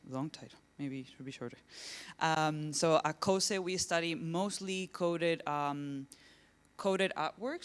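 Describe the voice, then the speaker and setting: A woman's voice: deep voice, clear, resonant tone. A woman from southern Spain with a Spanish accent, an artist and researcher giving an earnest conference presentation and searching for words as she goes. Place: lecture event.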